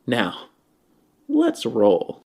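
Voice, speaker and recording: A man's voice: mid-range voice, huge pitch swings, very slow, music in the background. A man delivering a playful scripted advertisement as a sponsor announcer.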